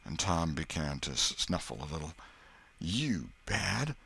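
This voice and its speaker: A man's voice: rumbling low, fairly even intonation, fairly quick, bright, forceful tone, a little hiss or hum. A man with an American accent narrating a classic novel as an audiobook storyteller.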